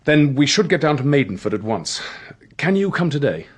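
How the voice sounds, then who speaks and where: An actor playing an earnest, protective village doctor with a British accent, speaking in an educated, emphatic, matter-of-fact way in a radio drama. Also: male voice, fairly high pitch, very animated, a little fast, breathy edge. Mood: urgent. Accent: British English.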